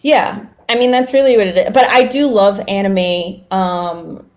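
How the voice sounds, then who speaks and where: A woman's voice: medium pitch, fairly even intonation, measured pace, slight background noise. A woman co-hosting a podcast, talking casually and informally, often acting out little asides as she tells things. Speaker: podcast co-host.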